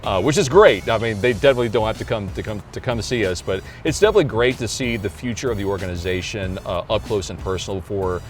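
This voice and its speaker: An American man in his thirties with a booming announcer voice, answering interview questions casually as a public address announcer. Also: quick pace, few pauses, slight background noise.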